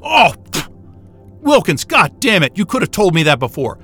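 An actor playing an irreverent, wisecracking astrophysicist in a sci-fi audio drama, with a sarcastic, informal and exasperated way of talking. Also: male voice, high voice, very animated, moderate pace, a bit bright, breathy edge, soft background music, exaggerated voice. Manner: sarcastic, informal, hesitant muttering and outbursts.

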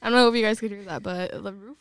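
A woman's voice: medium pitch, steady tone, quick pace, fairly bright tone. A woman from rural Texas with a slight twang, chatting casually as a podcast co-host in a playful, giggly way with frequent interjections. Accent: American, slight Texas twang. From rural Texas, United States. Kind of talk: casual chat.

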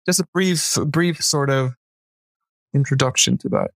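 A man from Germany, a guest designer, answers interview questions in a relaxed, loose way with many filler words. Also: mid-range voice, takes it slowly, dry recording.

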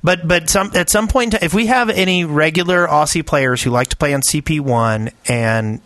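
A man's voice: fairly high pitch, normal speed, a bit bright, no room sound. A man hosting a podcast, chatting casually with the group. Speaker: podcast host.

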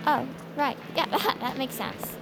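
A woman's voice: high voice, slow pace, gravelly, noisy background, full character voice. An actress playing an enthusiastic, easily impressed young female fan in casual audio-drama banter, with music underneath. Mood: curious, impressed. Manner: enthusiastic, agreeable.

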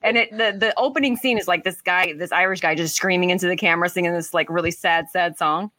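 Woman cohost, bright crisp tone, playful storyteller, very dry close sound. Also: on the low side, quick pace, dry recording. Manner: chatty, playful storytelling with acted-out quotes. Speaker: co-host. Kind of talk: casual anecdotal chat.

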